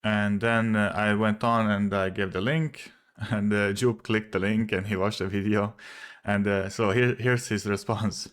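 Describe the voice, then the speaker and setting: A man's voice: fairly low pitch, steady tone, unhurried. A man whose first language is not English, casually arguing a point in a video, hesitant with many fillers and restarts, often checking in with "right?". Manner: explains step by step, often asks "right?". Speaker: video creator responding to a commenter. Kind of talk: casual argumentative commentary.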